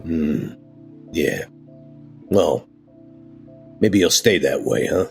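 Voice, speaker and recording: Man playing a very deep, gravelly streetwise vampire. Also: expressive, extremely slow, darkish tone, faint music behind, heavily acted. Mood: wry, guarded. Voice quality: gravelly, husky. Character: gruff, laid-back, streetwise male vampire.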